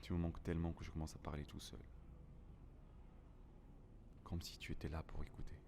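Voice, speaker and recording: A man's voice: rumbling low, little pitch movement, brisk, creaky, background noise. A man playing a lonely, grieving survivor of a plant apocalypse, narrating a first-person audio drama in a somber, melancholic, dramatic way. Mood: mournful, wistful.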